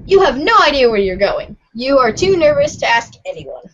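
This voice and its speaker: Female narrator, high voice, reading a script aloud on a dull, lo-fi recording. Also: big pitch swings, normal speed, fairly bright tone, a little hiss or hum. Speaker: narrator in amateur readers' theatre. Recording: dull, lo-fi audio.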